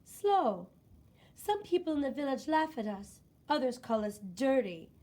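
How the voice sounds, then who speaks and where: Storybook heroine, read by a woman: young village woman, earnest, determined, fairly high natural voice. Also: sing-song, unhurried, dark tone, exaggerated voice.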